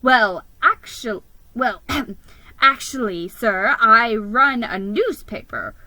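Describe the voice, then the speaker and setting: Woman playing a high, panicky little male mouse. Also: big pitch swings, takes it slowly, pushed and bright, no echo, heavily acted, poor audio. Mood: flustered. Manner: stammering, fearful, dramatic. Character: timid, panicky male mouse newspaperman, fretful and easily scared.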